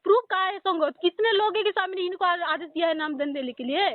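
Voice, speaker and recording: A woman's voice: very high voice, fast. Insistent woman arguing on a phone call. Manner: insistent, cuts in often. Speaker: caller. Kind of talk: heated phone argument. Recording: tinny phone line.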